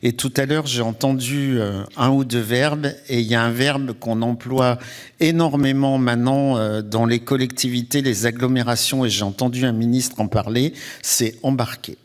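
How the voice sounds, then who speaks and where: A man's voice: mid-range voice, steady tone, normal speed. A man, a council member, giving his remarks at a round-table discussion.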